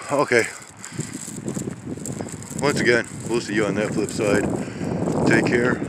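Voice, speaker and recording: A man talking casually while he walks small-town streets outdoors in the snow, with rambling, folksy exclamations into a handheld phone. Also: fairly low pitch. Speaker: vlogger on a weather walk. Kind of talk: casual walking commentary. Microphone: handheld phone camera. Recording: wind noise on the mic.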